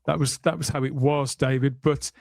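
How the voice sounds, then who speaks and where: A man hosting a podcast panel discussion, talking in a measured, discursive way with deliberate pauses. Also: mid-range voice, little pitch movement, gravelly, darkish tone, no room sound, studio quality. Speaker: podcast host.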